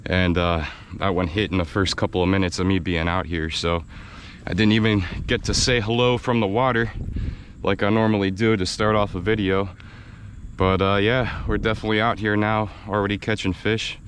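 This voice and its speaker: An American man with a slightly raspy, worn voice, chatting excitedly while fishing from a kayak outdoors, and laughing often. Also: on the low side, moderate pace, a little hiss or hum. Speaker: fishing video host. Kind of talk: casual on-the-water vlog narration. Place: outdoors on a kayak in a windy swamp.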